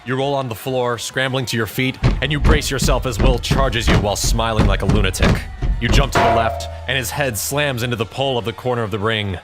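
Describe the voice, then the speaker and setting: A man's voice: medium pitch, fairly even intonation, fairly quick, loud music behind the voice. A man narrating a scripted story in the second person.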